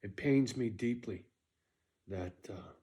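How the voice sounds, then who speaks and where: A man's voice: lowish voice, slow pace, warm tone. A middle-aged man casually telling a story from his own life, blunt and profane, often tagging "right?" onto his sentences.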